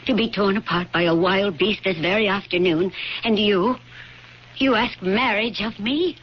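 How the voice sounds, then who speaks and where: A woman's voice: mid-range voice, normal speed, breathy edge, natural voice. An actress playing a passionate young noblewoman of ancient Pompeii in a radio drama, earnest and pleading in formal diction. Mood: anguished, indignant. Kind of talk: classic radio drama acting. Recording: dull, noisy old radio broadcast.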